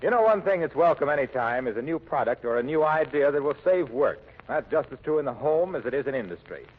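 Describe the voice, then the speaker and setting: A man's voice: medium pitch, lively intonation, fast talker, husky. An American man announcing and selling in polished 1940s radio style. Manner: polished salesman delivery. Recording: muffled, narrow-band 1940s radio recording.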